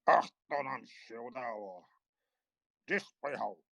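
Gruff, gravelly, blustering major. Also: male voice, medium pitch, animated, slow pace, fairly bright tone, no room sound, lo-fi sound, heavily acted. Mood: scornful. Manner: gruff, exclamatory, scornful. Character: blustering, cynical, hot-tempered old major. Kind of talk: audiobook drama acting.

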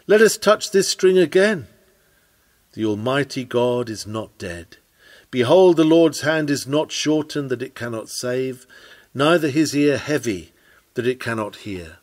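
A man reading an old-fashioned sermon aloud in an earnest, declamatory preaching manner. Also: a little high. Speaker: sermon reader.

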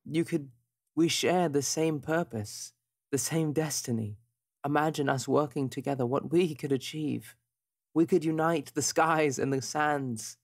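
A man's voice: fairly high pitch, a little slow. A man playing a centuries-old devout seer in a tabletop game, earnest, formal and theatrical. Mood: hopeful.

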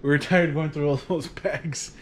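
A man's voice: fairly high pitch, normal speed, slight background noise. Vlog host in his thirties, easygoing and rambly, filmed at home on a couch by a camera across the room. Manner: relaxed, rambling, laughs often. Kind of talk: casual chat to camera.

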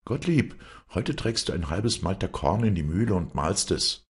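A bossy, indignant lady of the manor from a storybook, acted out by a man. Her voice is pushed far up and swoops wildly as she scolds and screeches, with a husky edge. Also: low voice, lively intonation, moderate pace, pitched above the performer's own voice. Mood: commanding. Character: shrill, bossy, indignant wife of a country squire. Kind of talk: dramatized storybook reading.

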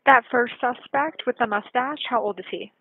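Husky woman call taker on tinny phone. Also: fairly high pitch, normal speed, no echo. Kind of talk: procedural questioning on emergency call. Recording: tinny narrowband emergency phone line.

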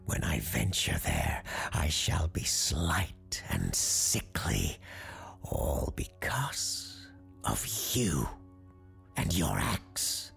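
An ancient tree spirit in a ghost story, hissing its accusations in a breathy whisper. It speaks very slowly and solemnly, menacing and mournful, with music underneath. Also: extremely low pitch, wildly swooping pitch, fairly bright tone, exaggerated voice. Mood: bitter, accusing. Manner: slow, drawn-out, solemn and formal.

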